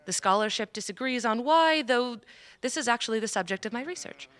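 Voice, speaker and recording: A woman playing a patient, scholarly mage in a fantasy audio drama, speaking in a measured, formal, instructive way. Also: a little high, animated, a bit bright, dry recording. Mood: patient, serious. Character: scholarly female mage patiently teaching magic. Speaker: voice actor.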